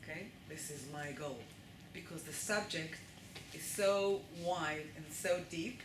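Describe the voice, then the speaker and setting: A woman's voice: deep voice, a little slow. A woman with an Israeli accent giving a live lecture to an audience and often checking that they follow along. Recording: echoey room with noise.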